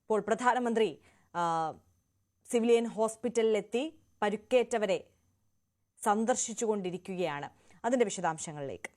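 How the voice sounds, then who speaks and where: A female news anchor with a Malayali accent speaks at a medium pitch. She presents live news in a broadcast style. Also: moderate pace, long pauses, a little mellow.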